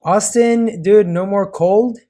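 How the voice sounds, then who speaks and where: A man from Peru co-hosting a podcast, excited and enthusiastic. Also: high voice, slow. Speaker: podcast co-host. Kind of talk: casual podcast chat.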